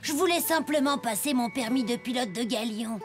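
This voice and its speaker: A woman's voice: a little high, moderate pace, over music, lightly acted. A woman playing a sweet, eager female pirate ship pilot in a children's cartoon. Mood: apologetic. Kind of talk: animated children's cartoon dialogue.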